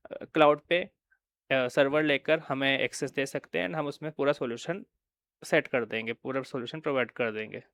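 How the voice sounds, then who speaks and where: A man's voice: mid-range voice, a bit bright, clear, resonant tone, dry recording. A man with an Indian accent giving an informal product walkthrough, explaining and often checking in with listeners. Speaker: product presenter.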